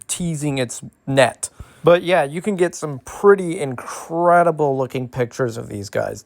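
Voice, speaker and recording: A host giving an informal, detailed educational ASMR explainer with playful asides. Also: mid-range voice.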